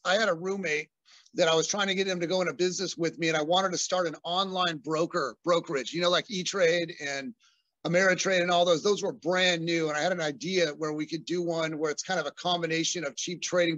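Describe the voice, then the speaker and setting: A man's voice: fairly high pitch, steady tone, fairly quick, a bit bright, no echo, highs cut off. A man in his forties from Southern California, a business owner talking through his background in a friendly, rambling way with many fillers. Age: 40s. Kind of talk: casual interview conversation.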